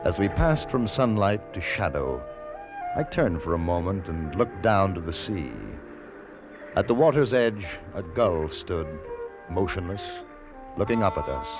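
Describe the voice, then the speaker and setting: A deep, reflective man narrates his boyhood memories in 1940s radio drama style. He is measured and nostalgic, with music behind him on a dull, band-limited old recording. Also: lively intonation.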